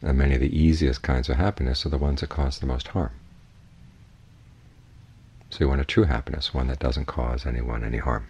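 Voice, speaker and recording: A man teaching in a guided meditation, giving measured, unhurried instructions with long pauses. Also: extremely low pitch, darkish tone, husky, dull audio. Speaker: Buddhist monk, meditation teacher.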